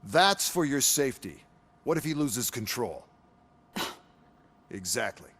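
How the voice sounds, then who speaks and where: A man's voice: medium pitch, very animated, measured pace, a bit bright, breathy edge, heavily acted. Sarcastic, bossy corporate man. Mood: smug, insistent. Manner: sarcastic, condescending, foul-mouthed. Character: cynical, bossy male corporate overseer, smug and threatening. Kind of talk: video game cutscene acting.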